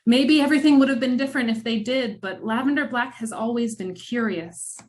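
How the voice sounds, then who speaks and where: A woman narrating a scripted film-noir radio drama in measured, dramatic storytelling with wry asides. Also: fairly high pitch.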